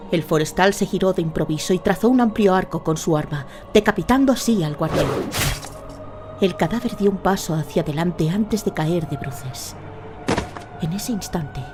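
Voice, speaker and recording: Low-pitched woman narrator over clear music. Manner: measured, storytelling. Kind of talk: scripted dramatic audio-drama narration.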